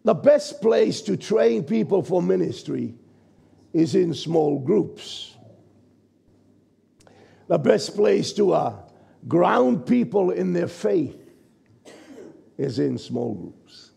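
A man in his sixties, a pastor from New Zealand, preaching a sermon from a church stage with a croaky, gravelly voice and a conversational manner. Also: high-pitched, big pitch swings, slow pace, pauses often, dark tone. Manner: conversational preaching, checks in with the congregation. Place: church stage, large indoor hall. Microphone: stage mic at a lectern, far camera.

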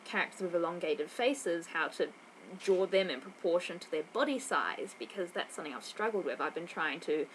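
A woman's voice: lowish voice, animated, normal speed, a little hiss or hum, rough recording. A young woman with a New Zealand accent, chatting casually to her webcam in her bedroom as she answers viewer questions, rambling with lots of ums and restarts. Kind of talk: casual chat. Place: bedroom, indoors. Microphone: webcam at desk.

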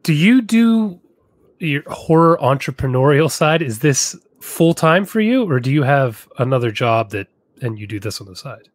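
A man's voice: a little high, sing-song, moderate pace, dry recording. A man hosting a casual podcast interview in a conversational way, speaking close to a desk mic. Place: room at home, indoors.

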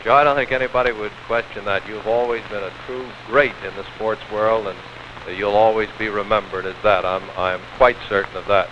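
American sports interviewer, noisy old film sound. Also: male voice, lowish voice, fairly even intonation, a little fast. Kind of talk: 1950s television sports interview. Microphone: handheld mic. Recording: dull, hissy old 16mm film sound.

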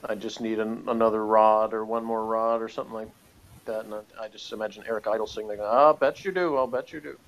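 A man giving his opinions in a casual review chat, talking in a halting, conversational way. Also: fairly low pitch, fairly even intonation, poor audio.

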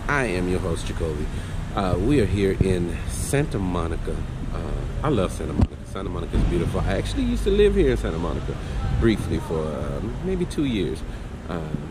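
A very deep-voiced man in his forties, nose stuffed up from a cold, chatting easily to a handheld camera as he walks. He rambles along with plenty of fillers. Loud street and traffic noise surrounds him outdoors. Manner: easygoing, chatty, frequent fillers. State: congested from a cold. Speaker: host. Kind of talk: casual walking vlog. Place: outdoors at a busy street market. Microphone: action camera held at arm's length.

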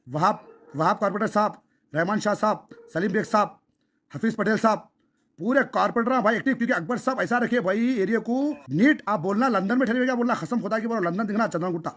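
A man's voice: high voice, fast, fairly bright tone. A man with a Hyderabadi accent talking insistently and emphatically on a recorded phone call, often repeating himself. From Hyderabad, India. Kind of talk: phone conversation, impassioned persuading.

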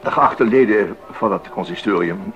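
A man playing a formal, deliberate rector chairing a council in a radio play, speaking in a measured way. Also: low-pitched, fairly bright tone, dull audio, soft background music. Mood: measured, official. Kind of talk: 1980s Dutch radio play acting.

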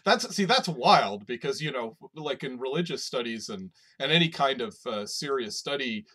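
A man with a medium-pitched voice chats as a podcast co-host. He talks about books in a casual, informal way, with loose phrasing and conversational tags. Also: expressive, normal speed, a bit bright. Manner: informal, loose phrasing with "like", "right?" and "you know". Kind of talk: casual conversational book discussion.

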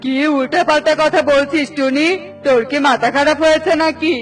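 A man's voice: extremely high pitch, fairly even intonation, brisk, soft background music. A man voicing a selfish, greedy male bird in a children's cartoon, scolding and boastful. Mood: scornful.

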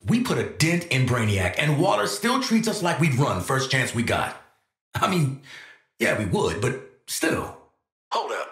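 A cocky American hitman from a video game, a cynical man who is also a protective dad. His delivery is streetwise and wry, full of slang, with very varied intonation and a bright, forceful edge. The recording has some room echo around it. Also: mid-range voice, sing-song, measured pace. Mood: wry, confident. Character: cynical, cocky male assassin and protective father. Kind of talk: video game character voice lines.

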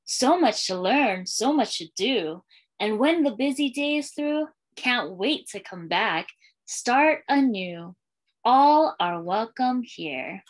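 Lively, unhurried woman reading to children. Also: a little high, rough recording. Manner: clear sing-song storytelling for young children. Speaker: elementary school librarian reading aloud. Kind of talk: children's picture book read-aloud. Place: small indoor room with bookshelves and curtain.

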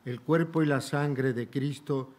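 An older man leading Mass as a priest, reciting liturgical prayers in a measured, solemn way in a large church. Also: medium pitch, steady tone, a little mellow. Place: large church sanctuary, indoors.

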